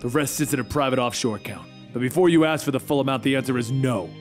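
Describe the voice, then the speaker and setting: A man voicing a subdued, gruff older editor. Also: medium pitch, fast, faint music behind, pitched below the performer's own voice, natural voice. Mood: shaken. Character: gruff older male newspaper editor, shaken.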